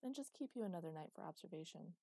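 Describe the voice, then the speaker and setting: A woman's voice: lowish voice, lively intonation, quick pace, dark tone, husky, no echo. A woman reading the lines of a distracted, awkward female doctor who speaks apologetically. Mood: apologetic. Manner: apologetic, hesitant.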